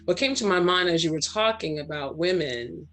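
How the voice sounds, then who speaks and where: A woman's voice: fairly low pitch, lively intonation, normal speed, fairly bright tone. A woman in her forties from Brooklyn and Trinidad, speaking candidly and conversationally about herself as a panel guest, often checking in with "right?". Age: 40s. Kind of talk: candid personal storytelling in panel discussion.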